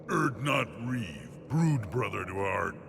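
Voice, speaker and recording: An actor playing a belligerent, vengeful alien warrior in a video game, with gravelly contempt and menace. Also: male voice, on the low side, lively intonation, slow, noisy background, exaggerated voice. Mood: scornful. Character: belligerent, vengeful male Krogan alien warrior, scornful and brutal.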